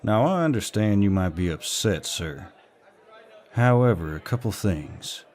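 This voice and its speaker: Deep, slow-drawling Southern gentleman, acted by a man. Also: lively intonation, a faint crowd. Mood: calm, firm. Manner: polite, drawling, firm, old-fashioned courtesy. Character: protective, courteous, dominant Southern gentleman in a bar. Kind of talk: roleplay audio acting, intimate and scripted.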